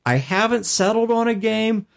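A man's voice: high-pitched, lively intonation, moderate pace, vocal fry. A man co-hosting a gaming talk show, laying out ideas step by step in casual chat. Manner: methodical, lays out ideas with examples. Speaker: podcast co-host.

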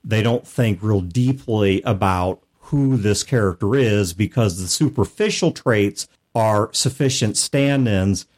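A man co-hosting a gaming talk show, laying out ideas step by step in casual chat. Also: medium pitch. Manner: methodical, lays out ideas with examples. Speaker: podcast co-host.

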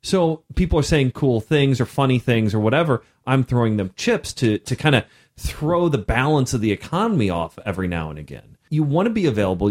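A man co-hosting a casual podcast chat about running games, with a loud voice that projects and an energetic, laughing manner. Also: medium pitch. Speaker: podcast co-host and game master.

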